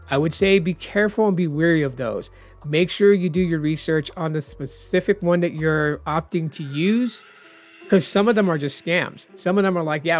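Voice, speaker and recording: Hoarse, chatty man over faint music. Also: fairly high pitch. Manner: chatty, rambling, opinionated. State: hoarse, losing his voice. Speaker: tech vlogger. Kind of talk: casual explainer vlog.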